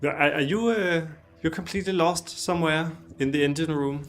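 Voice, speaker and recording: A man's voice: fairly high pitch, a little fast, faint music behind. A man hosting, casually interviewing a guest and asking easygoing questions while they play a game together. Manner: easygoing, laughs along, asks questions. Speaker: host.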